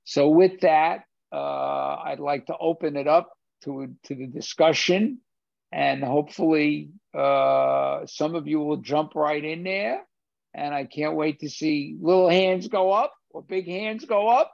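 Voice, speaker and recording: A man's voice: a little high, lively intonation, a little slow, gravelly, a little mellow, dry recording. An older man moderating a film discussion over a video call, hesitant with many fillers and restarts. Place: home theater room. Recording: dull dry video-call audio.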